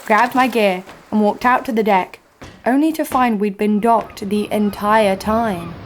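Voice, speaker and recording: A brash female adventurer played by an actress tells her exploits in an audio drama. She is sardonic and cocky, at a middling pitch, with faint ambience behind her. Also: a little slow, noisy background, close to an everyday voice. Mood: wry narration. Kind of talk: first-person audio drama narration and dialogue.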